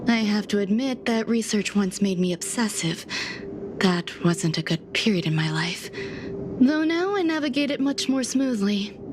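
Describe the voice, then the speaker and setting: A woman playing a reserved, detached genius scientist in a video game, speaking in measured, formal, reflective lines. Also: medium pitch, animated, background noise, lightly acted. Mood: composed, reflective. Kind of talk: scripted video game character dialogue. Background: background music.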